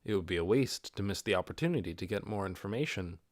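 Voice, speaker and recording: A man's voice: low voice, lively intonation, fast talker, no echo, studio quality. A man reading a fantasy novel aloud as scripted narration. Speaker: amateur audiobook reader.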